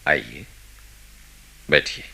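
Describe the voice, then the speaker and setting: A man acting a grave, reserved doctor in a radio play, husky-voiced, measured and courteous. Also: sharp tone, heavily acted. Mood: guarded, courteous. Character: grave, guarded male doctor recounting a case. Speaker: doctor and narrator character.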